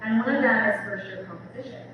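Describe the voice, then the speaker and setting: A woman's voice: a little high, quick pace, muffled recording. A woman speaking as an English professor giving a public book talk in a conversational manner, often checking in with her listeners. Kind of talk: public lecture, semi-scripted. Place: large reverberant room, recorded from afar. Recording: distant mic, echoey hall, noisy background.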